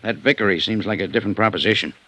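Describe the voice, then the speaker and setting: Man as a low-voiced, wary radio sidekick. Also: racing, heavy background noise, close to an everyday voice. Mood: wary, concerned. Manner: concerned, cautioning. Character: wary, loyal male investigator's helper. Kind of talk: 1950s radio drama acting. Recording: dull old radio transcription.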